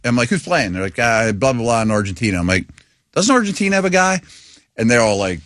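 A man's voice: mid-range voice, fast talker, dry recording, studio quality. A man co-hosting a sports radio show, telling stories casually and with animation. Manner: animated storyteller. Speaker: radio co-host.

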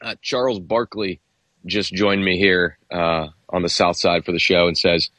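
A man analyzing football on a sports talk show, measured and thinking aloud as he weighs each point. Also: low-pitched, fairly even intonation, a bit bright, dry recording.

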